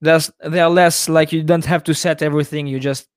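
Higher-voiced man hosting a podcast. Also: flat delivery, a little fast. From Italy. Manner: non-native English, hesitant with many uh fillers. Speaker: podcast host. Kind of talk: casual chat.

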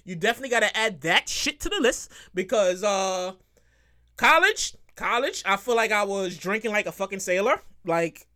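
A man's voice: high-pitched, normal speed. A man in his thirties hosting a casual solo podcast, rambling and cursing as he repeats himself. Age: 30s. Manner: animated, acts out quotes.

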